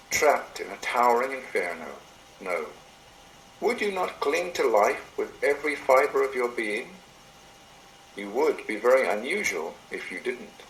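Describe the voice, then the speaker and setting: A man delivers a reflective, sermon-like reading in a mid-pitched voice. He is measured and serious, with lively rises and falls across his phrases. The amateur computer recording sounds roomy. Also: creaky, slight background noise. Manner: measured, solemn, deliberate phrasing. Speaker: reader of a meditation written by another. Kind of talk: read-aloud meditation, sermon-like address. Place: indoor room, recorded into a computer.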